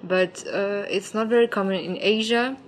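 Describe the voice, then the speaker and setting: A woman's voice: mid-range voice, steady tone, moderate pace, slight background noise. A young woman from Ukraine, a raw pastry chef and founder, answering interview questions with many fillers and restarts.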